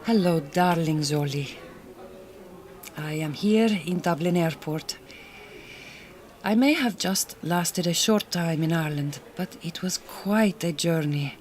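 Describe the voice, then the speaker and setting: A woman's voice: fairly low pitch, slow pace, darkish tone, a little hiss or hum. Actress as a resolute, tender Hungarian woman. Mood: tender, bittersweet. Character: resolute, tender Hungarian woman, bereaved migrant farm worker. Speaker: actor in a radio drama. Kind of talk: naturalistic radio drama acting.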